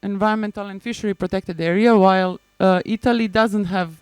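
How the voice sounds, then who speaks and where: A woman with a Serbian accent giving a prepared conference presentation in a hesitant, halting manner, often restarting phrases. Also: medium pitch, steady tone, clean tone, no echo. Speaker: conference presenter, maritime spatial planner.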